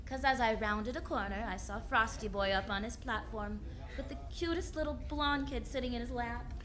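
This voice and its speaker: A woman's voice: on the high side, normal speed, a bit bright, lightly acted. A woman playing a sassy, unflappable female private detective in a noir radio drama, wry, breezy and slangy.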